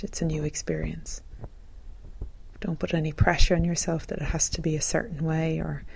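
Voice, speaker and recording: A woman leading a guided meditation in a gentle, calm, soothing manner, as a teacher would. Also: sits low, vocal fry, a little hiss or hum.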